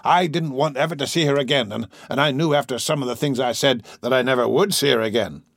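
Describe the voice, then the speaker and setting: A man voicing a lonely, excitable little old man in an audiobook, with a thin, shaky, halting delivery. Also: mid-range voice, no room sound, studio quality, voice pushed up. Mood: agitated, grieving. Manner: halting, stammering, repeating words.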